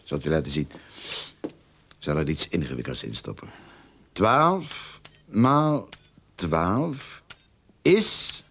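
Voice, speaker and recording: An actor playing a scheming, self-important private detective in a radio drama, with a pompous, theatrical manner. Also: male voice, mid-range voice, husky, exaggerated voice. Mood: boastful.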